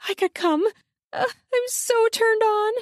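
Woman voicing a high, whimpering, impatient lover. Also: animated, unhurried, no echo, studio quality. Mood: aroused. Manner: breathy whimpering and pouting. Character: lustful, impatient young woman, whiny and pleading.

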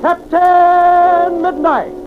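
A man's voice: very high-pitched, little pitch movement, very slow, over music. A man making an emphatic, rousing 1940s radio announcement for a sponsored programme. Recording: dull, crackly vintage radio recording.

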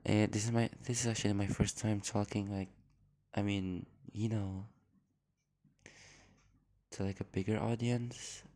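Man, low-pitched, creaky, unsure and apologetic, fillers, phone mic. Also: level tone, a little slow, long pauses, mellow. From Indonesia. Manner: hesitant, apologetic, rambling with many fillers. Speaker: podcast host. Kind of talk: casual unscripted introduction. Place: at home.

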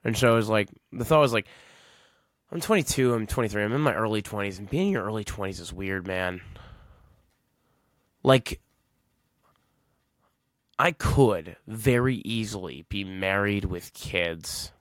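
A man's voice: on the low side, unhurried, long pauses, no echo, clean studio sound. A man in his twenties hosting a solo podcast, talking casually and reflectively, rambling and often correcting himself. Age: 20s. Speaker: podcast host.

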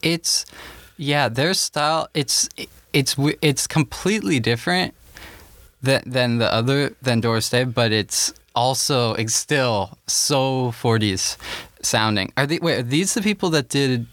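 A man's voice: medium pitch, a little slow, fairly bright tone, no room sound. A man co-hosting a podcast, chatting casually about old songs, with a hedging manner full of "like". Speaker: podcast co-host.

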